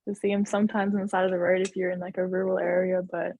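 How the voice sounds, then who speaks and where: A woman's voice: on the low side, flat delivery, fast talker, a little mellow. A young woman, a college basketball player, chatting casually as a guest on a video call, laughing easily between loose phrases. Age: young adult. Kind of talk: casual interview chat.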